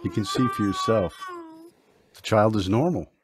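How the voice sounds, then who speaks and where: An actor playing a calm, kindly, mysterious doctor in an old-time radio drama, speaking gently and reassuringly. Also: male voice, on the low side, moderate pace, noisy background, close to an everyday voice.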